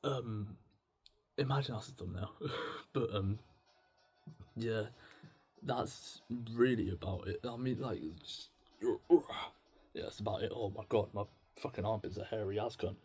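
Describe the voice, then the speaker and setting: A young man with a slightly husky voice, rambling through a self-deprecating, slangy, sweary confessional video diary. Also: lowish voice, unhurried, pauses often, a little mellow. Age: young adult. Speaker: solo video diarist. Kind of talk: rambling, confessional casual chat to camera.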